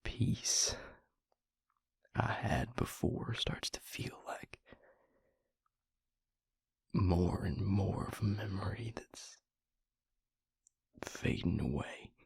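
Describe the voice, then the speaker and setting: A man playing a grieving, guilt-ridden vampire confiding in his mate in an intimate audio roleplay, halting and hushed, with a breathy, husky voice. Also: deep voice, expressive, no room sound, full character voice. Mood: subdued, sorrowful. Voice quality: breathy, husky, gravelly near-whisper.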